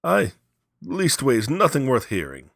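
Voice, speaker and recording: A man voicing a burly middle-aged sergeant major, a gruff, world-weary and emphatic storybook soldier. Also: medium pitch, wildly swooping pitch, a little slow, fairly bright tone, no room sound, studio quality, pitched above the performer's own voice, full character voice. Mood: guarded, hasty.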